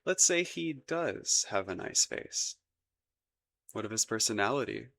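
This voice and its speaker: Man playing a flustered low-voiced crown prince, gravelly. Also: animated, a little slow, a bit bright, full character voice. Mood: coyly defensive. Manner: hesitant, stammering, formal old-fashioned courtly phrasing. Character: shy, flustered, lovestruck young crown prince, courtly and awkward. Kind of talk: romantic roleplay ASMR audio acting.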